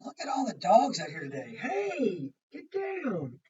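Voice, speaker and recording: A woman reading aloud as an eager schoolgirl who chatters to her dogs in a storybook, with an expressive delivery. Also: fairly high pitch, a little slow, highs cut off, slight background noise, voice pushed up. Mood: eager, bossy. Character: eager schoolgirl measuring her dog for homework.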